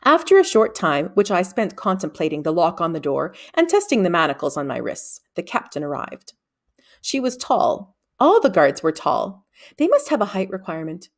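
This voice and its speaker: Expressive woman storyteller, lowish pitch. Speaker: audiobook reader.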